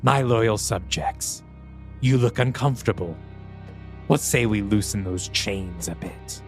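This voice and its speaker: A man's voice: on the low side, unhurried, faint music behind, voice pushed down, close to an everyday voice. A man voicing a mocking male demon who has possessed a priest and taunts a congregation with theatrical flair. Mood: preaching.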